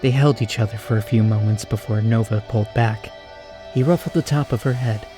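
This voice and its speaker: A man's voice: lowish voice, fairly quick. A man narrating a scripted story as the narrator of an audio drama. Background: faint music.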